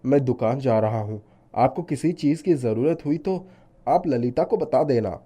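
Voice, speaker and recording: A man voicing a devout, worried shopkeeper and devoted son in a story, speaking earnestly and gently. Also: mid-range voice, animated, moderate pace, close to an everyday voice. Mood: gentle, caring. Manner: earnest, soft-hearted. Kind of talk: animated moral story dialogue.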